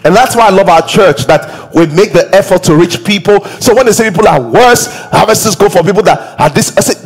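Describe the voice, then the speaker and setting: Husky Nigerian pastor preaching on stage. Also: male voice, on the high side, low-quality recording. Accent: Nigerian English. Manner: animated, acts out quoted voices, breaks into singing and shouting. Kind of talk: sermon. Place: large church stage.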